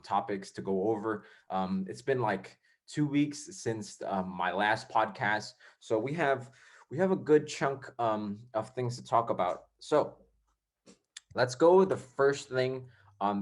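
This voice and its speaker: Low-voiced young man hosting a podcast. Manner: rambling, lots of fillers and restarts. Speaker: podcast host. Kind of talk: casual podcast chat.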